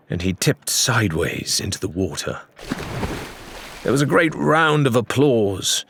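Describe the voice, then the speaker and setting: A man's voice: fairly low pitch, fairly bright tone. A man reading an audiobook as narrator, in dramatic scripted storytelling.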